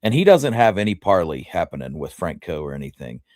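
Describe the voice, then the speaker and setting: Low-voiced man in casual history talk. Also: sing-song, fairly quick, a little mellow, no echo, studio quality. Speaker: history show co-host.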